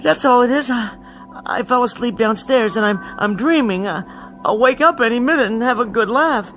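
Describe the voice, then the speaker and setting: An actor playing a meek, bookish bank clerk who talks to himself in an old-time radio drama style. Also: male voice, very high-pitched, expressive, a bit bright, a little hiss or hum, full character voice. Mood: grieving, disbelieving. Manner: talks to himself, halting repetitions when shaken. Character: meek bookish male bank clerk, dazed lone survivor. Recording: radio drama with music underneath.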